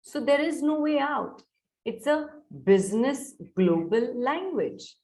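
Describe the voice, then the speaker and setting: A middle-aged woman with an Indian English accent gives an online soft skills lecture to students. She coaxes them and keeps checking whether they are following. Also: sits high, animated, slow pace. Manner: coaxing, mixes Hindi into English, checks on students often. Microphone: video call.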